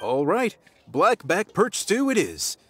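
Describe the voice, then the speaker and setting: A man's voice: sits high, wildly swooping pitch, slow, over loud music, full character voice. An actor playing a kindly middle-aged chef and protective father in an anime video game, courteous and fatherly. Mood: welcoming.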